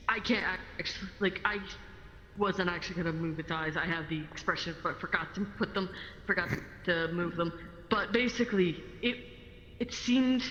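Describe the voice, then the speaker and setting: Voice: mid-range voice, bright, forceful tone. A roleplay game player explaining things casually during an online session. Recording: muffled, echoey reverberant room, faint noise.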